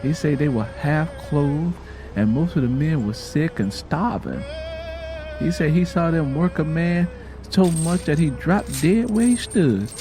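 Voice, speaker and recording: A man's voice: a little high, lively intonation, unhurried, music in the background. A man voicing a sorrowful mother who recounts hard family history in a plainspoken, reflective, folksy way. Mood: somber.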